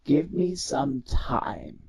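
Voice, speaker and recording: A man playing a measured, persuasive robot activist leader in an audio drama, earnest and deliberate. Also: mid-range voice, sing-song, creaky, darkish tone, slightly rough, exaggerated voice. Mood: pleading.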